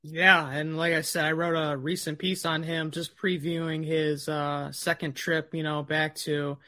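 A man's voice: fairly high pitch, level tone, a little slow, sharp tone, no echo. A man with a Midwestern accent, a recruiting reporter chatting casually, with lots of "you know" fillers. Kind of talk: casual sports talk.

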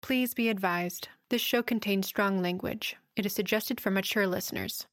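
A woman's voice: mid-range voice, normal speed, no echo, clean studio sound. A woman announcer reading a scripted advisory and show credits.

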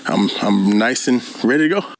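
A man's voice: mid-range voice, animated, moderate pace, gravelly. A man, a pro football player, answering interview questions in an easygoing, earnest way with lots of fillers.

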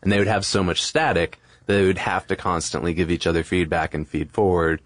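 A man's voice: low-pitched, steady tone, fairly quick, no echo, clean studio sound. A man co-hosting a podcast, explaining and commenting in casual conversation. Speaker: podcast co-host.

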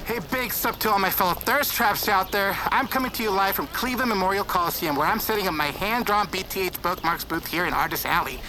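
A woman's voice: medium pitch, fast talker, hardly any pauses, background noise, natural voice. A woman with an American accent playing a self-promoting young fan vendor on a livestream in an audio drama. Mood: hyped.

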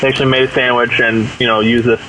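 A man's voice: medium pitch, little pitch movement, rapid-fire. A man on a podcast panel, talking casually on a noisy recording. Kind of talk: casual group chat. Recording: clearly noisy audio.

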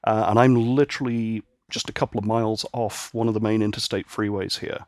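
A man's voice: lowish voice, a little fast, dry recording, studio quality. A man, from Northern Ireland and raised in England, talking easily and at length as a podcast guest from his home office. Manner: relaxed, easygoing, explains at length with fillers. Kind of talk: casual interview chat.

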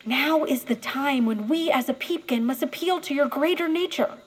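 Woman playing an officious, high-voiced politician. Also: moderate pace, lightly acted. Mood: appealing, lofty. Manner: formal, speechifying. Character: poised, self-promoting town councilwoman, high-minded and officious. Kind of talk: comic audio drama for children.

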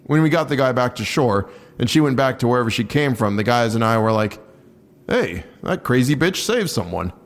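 A man playing a blunt patrol cop in an audio drama. His voice is of ordinary male pitch. He talks plainly and offhandedly, guarded and reluctant, as if he would rather be elsewhere. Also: animated, normal speed, natural voice. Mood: guarded, offhand. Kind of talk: naturalistic audio drama acting.

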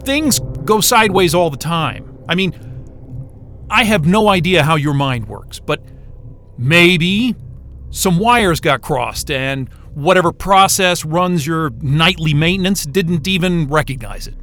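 An actor playing a nervous, evasive astrophysicist in an audio drama, casual and defensive, with stammers. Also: male voice, fairly high pitch, lively intonation, measured pace, fairly bright tone, a little hiss or hum. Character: evasive, nervous male astrophysicist at a polar station. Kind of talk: naturalistic audio drama acting.

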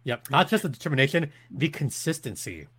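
A man's voice: a little high, very animated, fairly quick, a bit bright, breathy edge. A man who works as a sports announcer, giving conversational, opinionated analysis as a podcast guest with an emphatic delivery.

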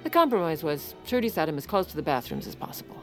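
A woman's voice: low voice, big pitch swings, fast, faint music behind. An actress playing a wry, exasperated First Lady who confides her story in comedic audio fiction with a dry manner. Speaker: narrator and lead character.

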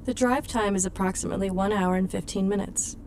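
Emotionless female car assistant played by an actress, courteous and measured, medium pitch, slight background noise. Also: darkish tone, close to an everyday voice. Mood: neutral, polite. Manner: formal, measured, neutral. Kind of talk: scripted virtual assistant in audio drama.

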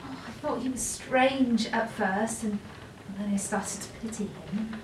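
In an echoing room, a little away from the mic, a young sister speaks slowly and haltingly as a woman acts her out. Her high voice is full of anguish and longing, as in a confessional moment of an audio play. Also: natural voice. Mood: lovestruck confession. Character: anguished, yearning young sister pouring out despair and forbidden love. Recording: roomy, distant mic, light noise.

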